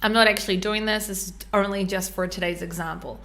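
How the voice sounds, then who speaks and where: A woman with a New Zealand accent talks through a live screen-share tutorial in a chatty, step-by-step way, often pausing on ums and uhs. Her voice is bright and clear, sitting at a middle pitch. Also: steady tone. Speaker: podcast host.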